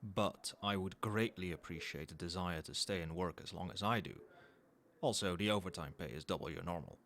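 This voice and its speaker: A man playing a cold, professional company boss in an ASMR roleplay, speaking in a formal, measured, quietly demanding way. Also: deep voice. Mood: firm, businesslike. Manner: formal, measured, businesslike, faintly suggestive. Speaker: voice actor in an audio roleplay. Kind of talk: ASMR roleplay, one-sided dialogue to the listener.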